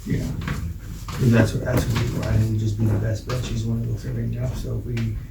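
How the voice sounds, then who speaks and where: A man's voice: mid-range voice, little pitch movement, fast talker, recorded from a distance. An American man speaking informally as a council member during a meeting discussion. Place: indoor council chamber. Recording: echoey room, off mic, clear background noise.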